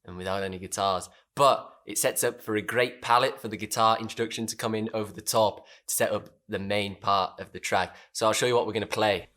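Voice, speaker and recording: A hoarse young British man with a lost voice, giving a relaxed, step-by-step music lesson. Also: on the low side, a little fast, few pauses. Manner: relaxed and chatty, explains step by step. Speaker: music teacher. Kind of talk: instrument lesson.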